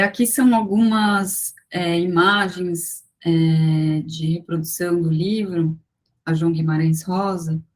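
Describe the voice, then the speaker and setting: A woman giving an academic talk over a video call, measured and full of small fillers and restarts as she walks through slides. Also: lowish voice. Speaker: guest lecturer.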